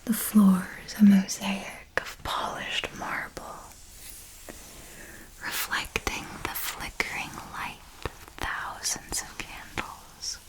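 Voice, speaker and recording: A woman playing an elegant, seductive vampire mentor in an ASMR roleplay, speaking in a soft breathy whisper with formal, deliberate, intimate phrasing. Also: mid-range voice, flat delivery, lightly acted, poor audio. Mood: hushed, alluring. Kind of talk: ASMR roleplay, scripted monologue.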